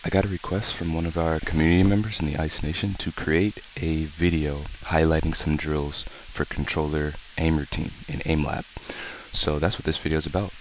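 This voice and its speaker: A man hosting a gaming channel, sick with a sore throat and talking calmly and softly as he coaches through a tutorial. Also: extremely low pitch. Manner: calm, slow, soft-spoken coaching. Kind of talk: casual tutorial commentary. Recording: dull lo-fi mic with faint hiss.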